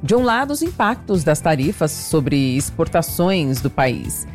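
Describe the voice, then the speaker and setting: A woman's voice: deep voice, very animated, a little slow, darkish tone, soft background music. A woman radio news anchor with a slightly nasal, congested voice, reading morning headlines and weather with brief chatty asides.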